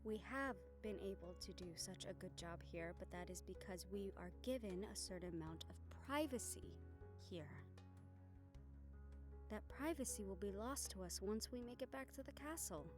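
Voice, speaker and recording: A woman playing an affectionate, scheming young noblewoman in an intimate audio roleplay, speaking formally and measuredly with thoughtful pauses. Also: mid-range voice, expressive, natural voice. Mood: fond, playful. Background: background music.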